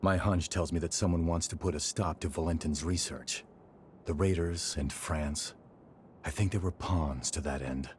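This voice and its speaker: An actor playing a loyal, frank young fighter in a video game, talking casually. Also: male voice, sits low, steady tone, moderate pace, a little mellow, slight background noise, natural voice. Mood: frank, easygoing. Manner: casual, colloquial. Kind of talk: video game cutscene dialogue.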